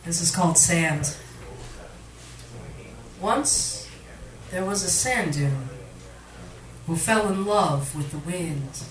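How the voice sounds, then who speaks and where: A woman's voice: lowish voice, lively intonation, slow, pauses often. Woman reading poetry in an echoey room. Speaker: poet, featured reader. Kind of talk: poetry reading, performed recitation. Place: literary cafe, indoors, live reading. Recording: echoey room recording from far off, audience noise. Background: cafe audience sounds.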